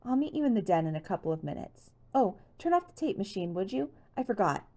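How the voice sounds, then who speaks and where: A woman's voice: medium pitch, very animated, fast talker, dark tone, pitched above the performer's own voice. A woman voicing a determined, playful teenage girl detective in an audiobook, frank and lightly teasing. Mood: flustered.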